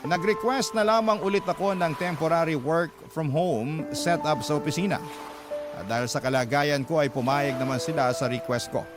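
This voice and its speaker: A man narrating a troubled letter sender's first-person story in a measured, earnest way, with a Filipino accent. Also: fairly high pitch, lightly acted. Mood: somber. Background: loud music.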